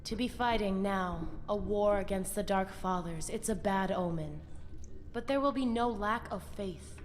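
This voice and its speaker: A woman's voice: medium pitch, measured pace, lightly acted. Game role: woman as fanatical female vampire warrior, solemn and ceremonial, long reverb, faint noise. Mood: solemn resolve. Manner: portentous, ceremonial speech. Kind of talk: dramatic video game character acting.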